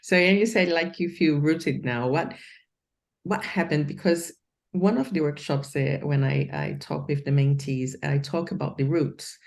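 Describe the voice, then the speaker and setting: Woman mentor hosting, slow rambling questions, lots of uhs. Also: low-pitched, fairly even intonation, gravelly. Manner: meandering questions with many fillers and restarts. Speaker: career mentor and host. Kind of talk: interview questions.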